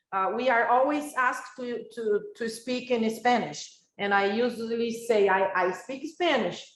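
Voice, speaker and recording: A woman's voice: a little high, roomy sound, slight background noise, low-quality recording. A Brazilian woman whose first language is Portuguese, giving a university lecture in a reflective way with many filler sounds and restarts. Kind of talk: recorded academic lecture.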